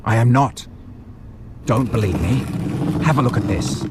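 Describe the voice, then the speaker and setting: A man playing a maniacal butcher villain in an audio drama, taunting in a theatrical, drawn-out way. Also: medium pitch, lively intonation, very noisy. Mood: gloating, forceful. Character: menacing maniacal butcher villain, gloating over his victim.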